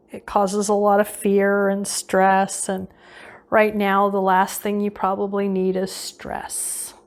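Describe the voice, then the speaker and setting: A woman's voice: mid-range voice, a little mellow. A woman leading an unscripted guided visualization meditation, giving casual instructions and often repeating her phrases.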